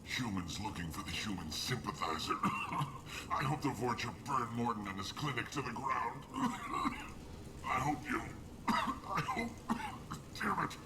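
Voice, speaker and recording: An actor playing a bitter, dying plague-stricken alien in a video game, sick and weak, with a breathy rasp and formal, accusing speech. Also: male voice, mid-range voice, sing-song, unhurried, roomy sound, recorded from a distance, noisy background. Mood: furious, choking. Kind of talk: video game character acting.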